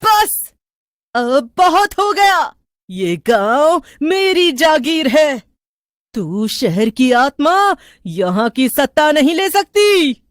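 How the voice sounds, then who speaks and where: A woman voicing a vengeful old village witch in a horror story, shouting slow, imperious threats. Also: high voice, very animated, dry recording, studio quality, voice pushed up, exaggerated voice. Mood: furious.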